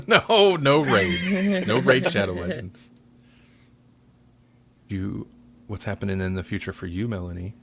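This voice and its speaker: A man with a fairly low voice hosts a casual podcast. He rambles conversationally, tossing in jokes and self-deprecating asides as he goes. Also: expressive, measured pace, pauses often, crowd noise. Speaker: podcast host.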